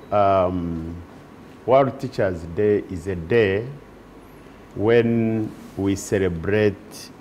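Slow, measured union leader. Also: male voice, on the low side, a little mellow, slight background noise, rough recording. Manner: measured, formal. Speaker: teachers union general secretary. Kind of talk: TV studio interview. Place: TV studio set. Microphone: lapel.

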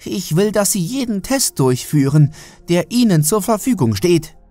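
Horror audiobook role: male narrator as imperious elderly woman patient, complaining and forgetful, pitched far up, music underneath. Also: sing-song, normal speed, dark tone, no room sound. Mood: demanding. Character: haughty, forgetful, demanding fat old lady patient.

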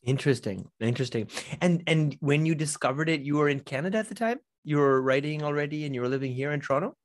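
A man's voice: on the high side, fast. A man in his thirties hosting a casual live interview over a video call, chatty and upbeat, often restarting his sentences. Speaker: podcast host. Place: home studio. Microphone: studio mic on a boom, seen in frame.

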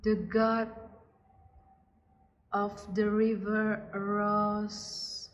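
A woman narrating a children's puppet-show story in deliberate short phrases, like a kindergarten teacher reading to little ones. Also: medium pitch, monotone. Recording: muffled, distant, reverberant hall with noise.

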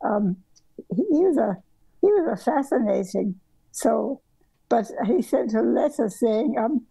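Elderly woman with a gravelly, mellow voice. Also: a little high, lively intonation, unhurried, dry recording, rough recording. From southern Africa. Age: older. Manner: meandering, with frequent restarts and ums. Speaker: guest architect. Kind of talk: reflective conversational storytelling. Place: home bedroom workroom, indoors. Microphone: video call.

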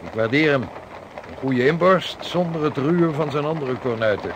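Male actor as seasoned seafarer and mapmaker, jovial and hospitable, mid-pitched, old-fashioned formal radio play delivery, faint background noise. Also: expressive, normal speed, lightly acted. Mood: genial. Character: hearty, hospitable seasoned sea captain and cartographer. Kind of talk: Dutch radio drama acting.

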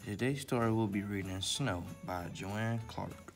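A man with a fairly low voice hosts a children's story time. He presents as a storyteller and casual instructor, speaking to young listeners. Music plays behind his voice. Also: a little slow. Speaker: library story time host.

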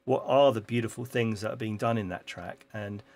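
A man's voice: fairly low pitch, a little fast, a little mellow. A middle-aged man hosting a live stream in his home studio, casually explaining how he builds a track, with frequent ums and restarts. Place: home music studio with keyboard and computer. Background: faint synth music from the session.

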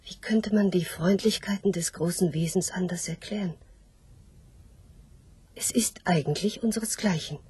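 A woman voicing a hopeful, philosophical sow in a literary radio play, speaking in a measured, breathy, husky voice. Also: fairly low pitch, warm tone, slight background noise, natural voice. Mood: hopeful, pondering. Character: hopeful philosophical sow awaiting her disappearance. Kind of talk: literary radio drama acting.

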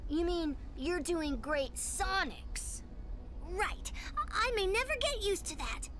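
Young cartoon fox boy, very high voice, lively swings, earnest, clever game sidekick, music behind. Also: slow pace, heavily acted. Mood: eager, encouraging. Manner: earnest, explanatory. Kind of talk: animated video game acting.

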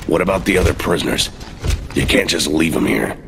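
An actor playing a gruff, defiant soldier in a video game, terse and sardonic, with a growling voice. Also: male voice, extremely low pitch, brisk, very noisy. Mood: sardonic, guarded. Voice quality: gravelly, growling. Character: gruff, defiant male soldier freed from prison. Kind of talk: video game cutscene acting. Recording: loud score and machinery behind.

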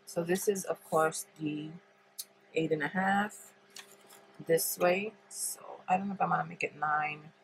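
Stuffy-nosed lady, low voice, rambling thru a paper craft tutorial, lots of ums, hissy recording. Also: steady tone, unhurried, creaky. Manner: chatty, thinks aloud with many ums. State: congested. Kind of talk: relaxed step-by-step craft demonstration. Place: indoors at a craft table.

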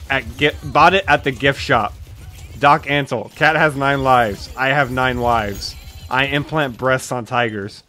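A young man reading comedy scripts aloud casually and deadpan, sometimes close to giggling. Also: mid-range voice. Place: small dim room, indoors. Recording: home microphone with background noise, clicks.

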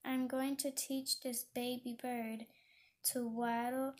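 A woman voicing an earnest, fussy goose in a storybook, serious and a little halting. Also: fairly high pitch, flat delivery. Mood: eager.

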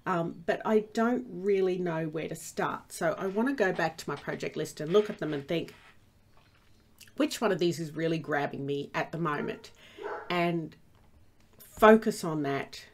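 A woman's voice: fairly low pitch, unhurried. A woman with a stuffy cold, rambling through casual commentary over her Minecraft gameplay with lots of fillers and restarts. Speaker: Minecraft let's play host.

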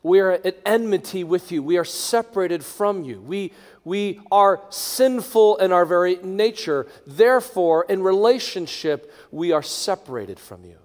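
A middle-aged man, a pastor leading a congregation in prayer from a lectern, moving between hushed, near-whispered phrases and strong, raised proclamation. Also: sits high, animated, a little slow. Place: large church sanctuary. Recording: long church reverb.

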